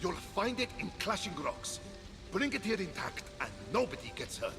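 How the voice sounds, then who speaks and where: An actor playing a vain, touchy, blustering god of war in a video game, bombastic and comically dramatic. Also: male voice, high-pitched, sing-song, moderate pace, over music. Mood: bossy, boastful. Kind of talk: video game cutscene acting.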